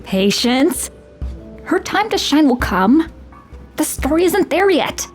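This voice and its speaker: Earnest young female game companion, voiced by a woman: lively intonation, expressive storyteller, clear musical backing. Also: high-pitched, takes it slowly, soft background music, exaggerated voice. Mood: patient.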